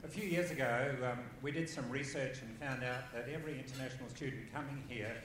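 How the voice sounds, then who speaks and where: A man asks a panel a question in a measured, business-like way. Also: mid-range voice, fairly bright tone, heavy reverb, a little hiss or hum, rough recording. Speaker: audience member asking a question.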